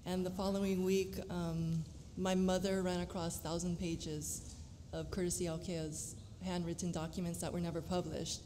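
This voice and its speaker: A woman, a teacher speaking on a panel in an earnest, deliberate way. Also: lowish voice, little pitch movement, dark tone. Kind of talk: panel discussion. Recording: long hall reverb, faint noise.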